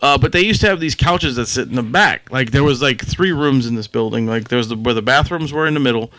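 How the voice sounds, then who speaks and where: A man in his forties in casual podcast chat, blunt, opinionated and quick to swear. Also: mid-range voice, a bit bright, slight background noise, poor audio. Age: 40s. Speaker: podcast co-host.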